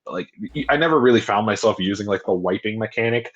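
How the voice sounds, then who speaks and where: A young man with a deep voice, co-hosting a casual podcast chat, rambling and self-mocking with lots of swearing. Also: lively intonation, fast talker, highs cut off. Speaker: podcast co-host.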